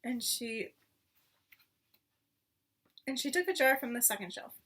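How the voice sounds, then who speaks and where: Casting: woman storybook reader, high-pitched, expressive, recorded some distance from the mic. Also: a little slow, a little hiss or hum. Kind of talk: expressive children's read-aloud narration.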